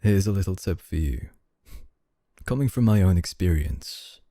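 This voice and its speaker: A male actor as a suave young boss in an ASMR roleplay, with a very dark, mellow, low voice. He speaks slowly and softly, calm and formal, with quiet dominance, recorded close and dry. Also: no echo. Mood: calm, authoritative. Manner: slow, deliberate, smooth formal courtesy, soft chuckles.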